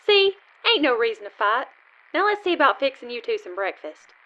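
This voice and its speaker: Woman playing a high-voiced folksy diner woman. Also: sing-song, fairly quick, noisy background. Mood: unfazed, wry. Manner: folksy, matter-of-fact. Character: easygoing, dry-witted western diner woman, unfazed. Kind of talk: comic western radio sketch. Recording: slightly muffled recording.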